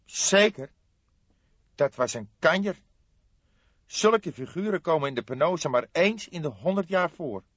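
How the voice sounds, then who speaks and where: Scrawny underworld barkeep, high voice, animated swoops, emphatic slang, audiobook character. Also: male voice, measured pace, long pauses, breathy edge, no room sound, full character voice. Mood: confiding. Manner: excitable, emphatic street slang. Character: scrawny indignant small-time underworld café owner, male. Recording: slightly dull, dry studio recording.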